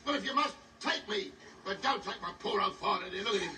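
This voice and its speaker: A man's voice: high-pitched, expressive, fast, bright, forceful tone, exaggerated voice. Cheeky caveman dad, echoey and distant. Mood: mock pleading. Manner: theatrical, punchline-driven delivery. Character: cheeky scheming caveman father, mock-pleading comic husband. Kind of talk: broad pantomime-style TV sketch comedy. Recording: echoey, noisy TV playback filmed off a screen.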